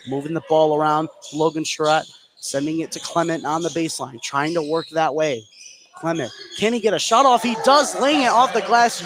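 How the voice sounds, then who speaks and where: An American man calls a basketball game live for radio. His voice sits a little high, and he follows the play in short, excitable phrases. The live broadcast has clear background noise from the gym. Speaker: play-by-play commentator. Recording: noisy live sports broadcast.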